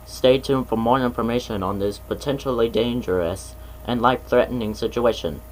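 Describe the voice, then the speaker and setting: A man's voice: medium pitch, normal speed, slight background noise, close to an everyday voice, poor audio. A man playing an official emergency alert announcer, reading scripted warnings in a formal, serious, instructional way. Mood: serious, urgent.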